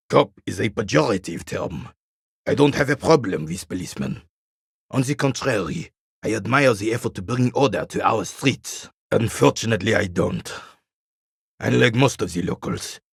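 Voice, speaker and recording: An actor playing a bitter, proud royalist war veteran in his eighties in a video game, with a rasping, weathered voice and a haughty, formal military way of talking. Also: fairly low pitch, dry recording, studio quality, exaggerated voice. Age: 80s. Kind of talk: video game character dialogue.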